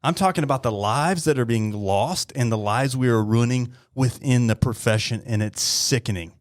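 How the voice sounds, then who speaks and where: A man in his thirties talking bluntly and earnestly on a podcast, often halting and repeating his words. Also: mid-range voice, no room sound, studio quality. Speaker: podcast host, police officer. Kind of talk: heartfelt interview talk.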